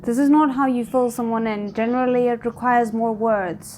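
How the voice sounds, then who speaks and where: A woman giving a casual live reaction to a drama series, thinking aloud in an informal, expressive way. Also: a little high, quick pace, a little hiss or hum. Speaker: reaction video host.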